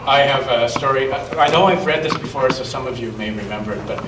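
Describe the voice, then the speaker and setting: A man reading a picture book aloud to children and chatting gently with them in a church. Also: medium pitch, fairly quick. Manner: gentle question-and-answer talk with children. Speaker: storytime reader at a church children's gathering. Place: large echoey church room with children. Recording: echoey noisy room, distant mic.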